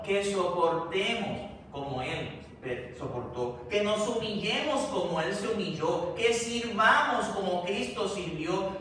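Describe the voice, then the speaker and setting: A man's voice: high voice, a bit bright. A man with a Puerto Rican accent preaching a sermon to his congregation, speaking to them directly in a repeating, building cadence. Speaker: pastor. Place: church sanctuary. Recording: very echoey church, distant mic, faint noise.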